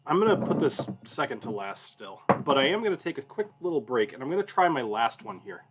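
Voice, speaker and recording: Male host, 30s, mid-pitched voice; informal livestream chat, loose anecdotal delivery that wanders and doubles back. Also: very animated, a little fast, slight background noise. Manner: informal, rambling, self-interrupting. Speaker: whiskey review show host.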